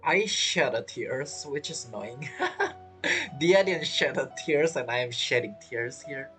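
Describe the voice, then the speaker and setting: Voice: medium pitch, big pitch swings, slow, bright, forceful tone, faint music behind. A game streamer giving casual let's play commentary in non-native English phrasing.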